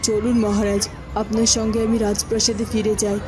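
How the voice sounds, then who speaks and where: A woman's voice: medium pitch, level tone, fairly quick, over music, lightly acted. A woman voicing a neglected, scheming queen in a fairy-tale cartoon, full of reproach. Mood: calculating, deferential.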